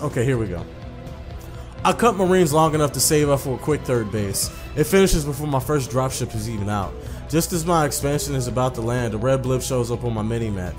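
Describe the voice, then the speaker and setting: Slangy man doing gaming commentary over music. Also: medium pitch. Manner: slangy, profane, repeats himself. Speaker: gaming video host. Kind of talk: casual let's play commentary, reading visual novel text aloud.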